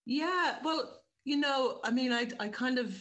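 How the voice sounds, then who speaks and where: High-voiced Irish woman, chatty. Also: a little slow, fairly bright tone. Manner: chatty, wandering explanations with many self-corrections. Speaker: writer and director, interview guest. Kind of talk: casual interview chat.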